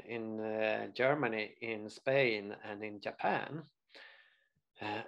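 A man in his forties from Sweden with a Swedish accent, presenting a study abroad webinar in an earnest way, often pausing with uh and restarting words. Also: mid-range voice, gravelly. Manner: earnest, frequent uh fillers and restarts. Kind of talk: webinar presentation over slides.

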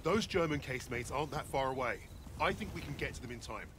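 Actor doing determined brit sergeant in a war game, urgent, very up and down voice, noisy background. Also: male voice, medium pitch, expressive, fast talker, lightly acted. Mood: resolute. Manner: urgent, resolute. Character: determined British airborne sergeant, resolute natural leader. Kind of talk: video game war drama acting.

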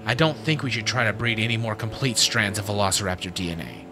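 A man's voice: lowish voice, lively intonation, fast, music in the background, natural voice. A man playing a serious, cautious geneticist. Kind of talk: fan audio drama acting.

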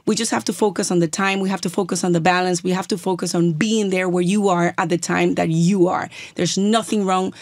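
A woman's voice: fairly low pitch, fairly even intonation, a little fast, hardly any pauses, dry recording. A middle-aged woman from the Dominican Republic with a Dominican accent, speaking earnestly and encouragingly as a podcast guest, repeating phrases for emphasis. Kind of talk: podcast interview, mixing Spanish and English.

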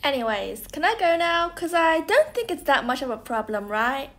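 Woman playing a high, hesitant young cartoon sailor boy. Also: very animated, normal speed. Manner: hesitant, questioning.

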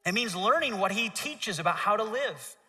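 Man in his thirties, preacher, high bright voice, long hall reverb, call-and-response. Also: normal speed. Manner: lively, gestures and asks the audience to repeat. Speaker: pastor. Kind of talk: children's sermon. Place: large church stage.

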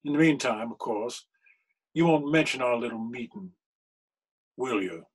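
A man playing an anguished, scholarly clergyman in a period radio mystery, speaking with formal, deliberate diction. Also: medium pitch, breathy edge, lightly acted. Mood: cool, controlled. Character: anguished, scholarly older clergyman posing as crime boss.

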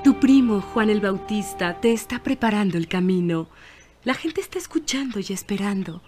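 A woman playing a tender, resigned mother of a grown son in an animated Bible film, speaking gently and from the heart. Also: mid-range voice, normal speed, faint music behind, natural voice.

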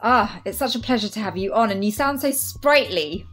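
A woman hosting a podcast, reading a scripted introduction and then chatting with her guest, enthusiastic and quick to laugh. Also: medium pitch, lively intonation, a bit bright. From half Sri Lankan, half Malaysian. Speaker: podcast host.

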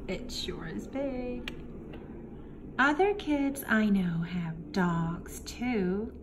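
A woman reading a picture book aloud to young children, in simple phrases with deliberate pauses. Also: mid-range voice, lively intonation. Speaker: children's story reader. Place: indoor room, book filmed on a table. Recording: faint room noise behind the voice.

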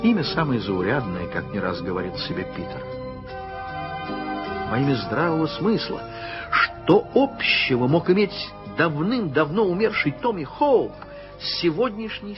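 A man narrating a classic story in an expressive, storytelling manner. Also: fairly high pitch, a little slow. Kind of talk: scripted literary narration. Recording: dull old radio recording with music.

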